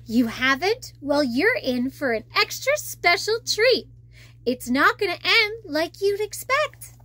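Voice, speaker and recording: Female children's storyteller, high voice, slow and very expressive reading.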